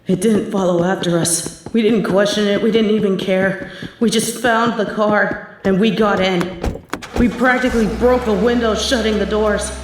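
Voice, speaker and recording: Hushed young woman telling a horror tale. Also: mid-range voice, fairly even intonation, normal speed, background noise. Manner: hushed, tense storytelling. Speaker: audio script performer. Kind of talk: scripted horror narration. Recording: very echoey room.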